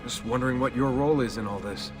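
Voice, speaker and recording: A man's voice: medium pitch, quick pace, lightly acted. Guy playing a wary young dude, blunt, plain voice, music faint. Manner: blunt, questioning.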